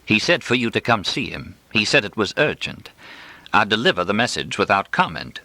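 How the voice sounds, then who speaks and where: An arch, disapproving mother in an audiobook, acted out by a male reader. The delivery is pointed and gossipy, with sarcastic stresses and lively swings in pitch, recorded dry and close. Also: moderate pace, no echo, full character voice. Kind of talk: audiobook character dialogue.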